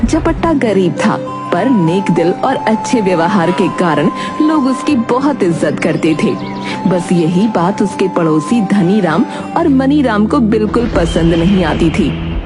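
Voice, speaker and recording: A woman's voice: medium pitch, sing-song, unhurried, over music. Woman narrating a children's cartoon. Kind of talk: scripted storybook narration.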